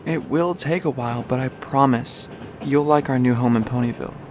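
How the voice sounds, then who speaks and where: A man voicing a young pony, a gentle, protective big brother who speaks in a soft, reassuring way in a story. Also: mid-range voice, brisk, slight background noise, lightly acted. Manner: soft, encouraging, caring.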